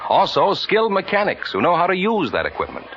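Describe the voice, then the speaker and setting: A man's voice: high voice, wildly swooping pitch, fairly quick. A man announcing for a 1950s radio show in a polished, projecting salesman's delivery. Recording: dull old radio recording, faint noise.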